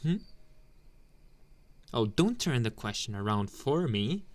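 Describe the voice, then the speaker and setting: Delinquent school bully played by a man, unhurried and teasing, mid-range male voice, soft-hearted underneath, intimate ASMR boyfriend roleplay. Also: medium pitch, big pitch swings. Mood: taunting, smug. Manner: taunting, drawn-out, questioning hums.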